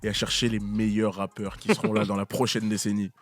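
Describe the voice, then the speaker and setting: A man's voice: on the low side, little pitch movement, fairly quick. Teasing young male co-host. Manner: teasing, slangy banter. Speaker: radio co-host. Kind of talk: casual radio chat.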